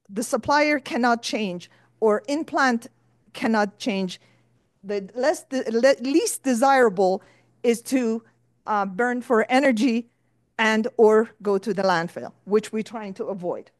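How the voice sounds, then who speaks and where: A woman speaking at a conference, patiently guiding listeners through a process. Her voice sits fairly high for a woman, and the recording is dry and close. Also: slow pace, no room sound. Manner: explanatory, with occasional fillers. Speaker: conference presenter. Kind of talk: conference talk with slides.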